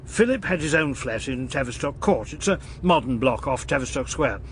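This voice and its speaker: A man playing a formal, indignant upper-class British gentleman with a clipped, huffy manner in a radio drama. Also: medium pitch, animated, moderate pace, a little hiss or hum. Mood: explanatory.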